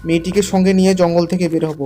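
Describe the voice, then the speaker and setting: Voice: medium pitch, flat delivery, fast, dark tone, slight background noise. A storyteller giving scripted first-person narration for a horror cartoon. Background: music with guitar.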